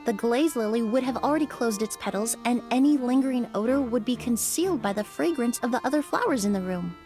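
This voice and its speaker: An actress playing a composed, analytical young female investigator in a video game, explaining in a measured, precise way. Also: on the high side, expressive, dark tone, soft background music. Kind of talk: video game voice acting, expository.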